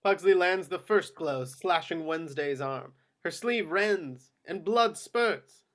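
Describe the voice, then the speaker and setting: A man with a high voice reads out stage directions. It is scripted narration for a table read of a screenplay. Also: lively intonation, slow, fairly bright tone.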